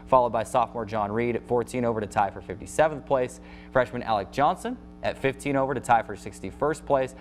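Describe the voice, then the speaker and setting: American male sports host, mid-range voice, scripted studio delivery and interviews. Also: medium pitch.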